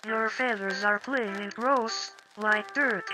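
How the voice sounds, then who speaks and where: A woman voicing cold, disdainful swans in a dark fairy tale, with haughty, measured delivery. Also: mid-range voice, lightly acted, low-quality recording. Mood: contemptuous. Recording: clear music under the voice.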